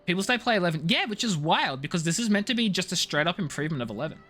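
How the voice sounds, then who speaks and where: A man chats casually as he plays a game on stream, in a fairly high, animated voice. He is slangy and playful, given to mock outrage, with some music around him. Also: rapid-fire. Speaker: live streamer playing a video game. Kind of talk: casual chatty game commentary.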